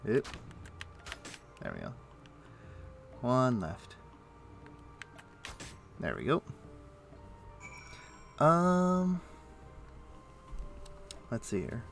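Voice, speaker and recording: A man chatting casually on a game live stream, thinking aloud in a halting, muttering way as he plays. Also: mid-range voice, huge pitch swings, creaky, a bit bright. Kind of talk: casual live-stream chat while playing an online game. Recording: faint music behind the voice. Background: faint music.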